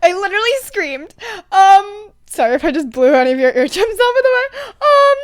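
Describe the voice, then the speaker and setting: A young adult woman chatting casually and excitedly to listeners as a podfic reader, rambling in slangy, emphatic speech with some swearing. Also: very high-pitched, fairly quick.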